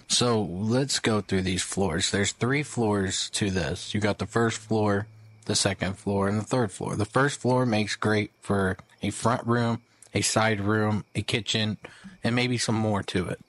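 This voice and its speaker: A man's voice: lowish voice, a little slow, a bit bright, no room sound. A man hosting a gaming video, giving casual update commentary in a conversational, rambling way.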